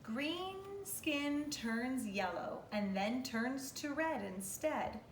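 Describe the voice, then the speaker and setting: A woman reads aloud like a teacher of small children, in a fairly high, unhurried voice. She is expressive and instructive, putting questions to her listeners and answering them. The sound is roomy, as if the microphone sits a little way off in a living room. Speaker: kindergarten teacher. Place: living room at home, indoors. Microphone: camera or phone a few metres away. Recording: roomy, distant mic, faint noise.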